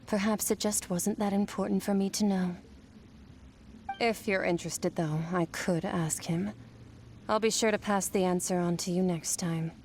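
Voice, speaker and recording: Woman playing a calm mysterious disciple. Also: medium pitch, slight background noise, lightly acted. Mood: gentle. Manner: calm, gentle, unhurried. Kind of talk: game dialogue.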